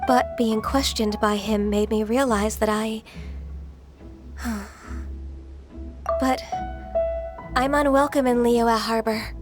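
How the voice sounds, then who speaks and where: A gentle reserved maiden in a game, soft-spoken, polite, a bit high. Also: female voice, steady tone, takes it slowly, pauses often, over music. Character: gentle, dutiful woman half-spirit, reserved.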